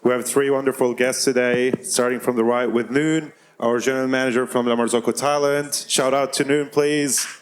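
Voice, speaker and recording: A man's voice: mid-range voice, steady tone, moderate pace, few pauses, a little hiss or hum. A man moderating a live panel, introducing guests and putting questions to them. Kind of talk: event moderation. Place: live event stage.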